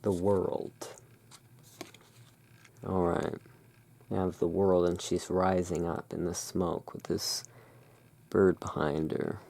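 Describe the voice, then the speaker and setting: A person speaking in a halting, musing way, explaining things as the owner of a gothic curiosity shop in an ASMR roleplay. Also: low voice, little pitch movement, creaky, darkish tone, a little hiss or hum. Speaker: roleplaying host. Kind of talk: ASMR roleplay, showing tarot cards.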